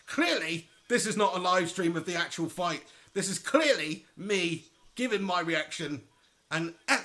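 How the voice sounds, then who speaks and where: A man giving chatty, excitable live commentary on a boxing match and talking straight to his viewers. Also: sits high. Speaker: livestream fight commentator.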